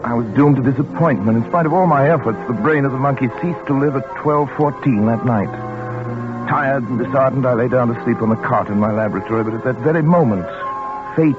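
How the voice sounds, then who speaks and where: An actor playing a cold, obsessed scientist and surgeon in a 1940s radio drama, speaking in a clipped, imperious, detached manner. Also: male voice, medium pitch, fairly quick, lightly acted. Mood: weary, grave. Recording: phone-like old radio broadcast with loud music.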